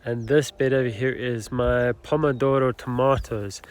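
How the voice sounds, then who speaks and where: A man with a nasal voice giving a relaxed, rambling tour of his garden and polytunnel, with lots of ums, recorded on a camera mic outside. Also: medium pitch, normal speed. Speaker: gardener vlogger. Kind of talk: casual garden tour walkthrough. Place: outdoor garden, then a polytunnel. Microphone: camera mic catching wind outdoors. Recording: wind noise on the mic outdoors.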